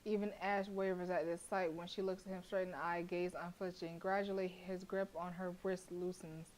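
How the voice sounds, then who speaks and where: A young woman streaming a horror visual novel, reading the narration aloud and breaking into blunt, profane, animated reactions with frequent laughter. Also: lowish voice, brisk, slight background noise, rough recording.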